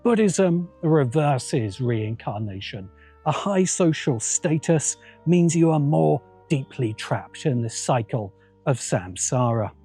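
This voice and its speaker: A man hosting and narrating a scripted video essay in a measured way, with wry asides. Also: mid-range voice, expressive, mellow, breathy edge, faint music behind. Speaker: host.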